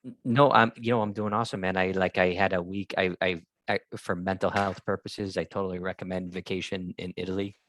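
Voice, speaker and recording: Co-host: upbeat, easily amused man with a low voice, video call. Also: level tone, brisk, fairly bright tone. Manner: upbeat, laughs often. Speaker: podcast co-host. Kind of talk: casual interview chat.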